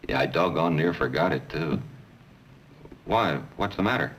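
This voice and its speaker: An actor playing an impulsive husband buying a car in a vintage training film. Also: male voice, very deep voice, expressive, normal speed, fairly bright tone, far from the mic, a little hiss or hum. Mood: puzzled. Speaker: customer. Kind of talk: 1950s training film acting.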